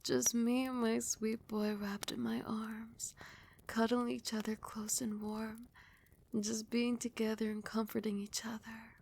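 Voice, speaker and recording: Woman acting doting vampire queen, breathy and creaky. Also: on the high side, little pitch movement, measured pace, darkish tone, heavily acted. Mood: soothing. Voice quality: breathy, often dropping into whisper. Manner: doting, soothing, affectionate pet names. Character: loving, doting vampire queen, tender and protective. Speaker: ASMR roleplay voice actress. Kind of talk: ASMR roleplay, intimate whispery murmur.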